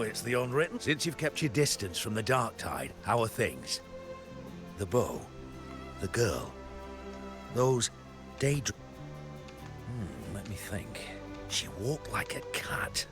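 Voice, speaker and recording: Slow, musing man. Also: medium pitch, very animated, music in the background. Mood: musing, amused. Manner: halting, musing, playful. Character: musing, unhurried man recalling a passing girl. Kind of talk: video game voice acting.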